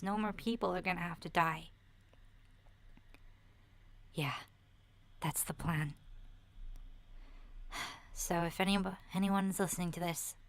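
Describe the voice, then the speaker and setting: Woman doing a homesick little girl survivor, asmr roleplay, breathy half whisper, hesitant n earnest, kinda low, really slow. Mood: hopeful, determined. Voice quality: soft, breathy, often whispering. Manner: hesitant, halting, childlike phrasing. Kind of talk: soft-spoken ASMR roleplay monologue.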